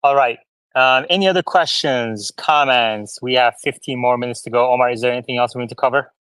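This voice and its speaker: A man hosting a live audio space, casually chatting and moderating callers in a friendly, brisk way. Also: medium pitch, a bit bright, clear, resonant tone, dry recording, rough recording.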